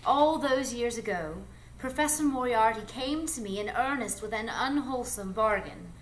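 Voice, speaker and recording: A woman playing an alluring, ageless, mysterious adventuress in a live radio drama, speaking in a measured, coy, theatrical way. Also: fairly high pitch, lightly acted. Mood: poised, confiding. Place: live performance space before an audience. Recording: noisy live stage recording.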